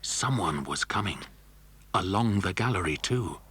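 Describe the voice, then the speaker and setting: A man's voice: sits low, animated, unhurried, bright, forceful tone, slight background noise. A man narrating a scripted children's adventure story.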